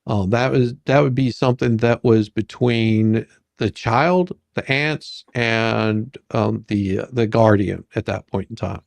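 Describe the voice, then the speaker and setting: Gravelly man hosting casual chat. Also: on the low side, unhurried, no echo. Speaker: livestream host. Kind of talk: casual, jokey livestream chat.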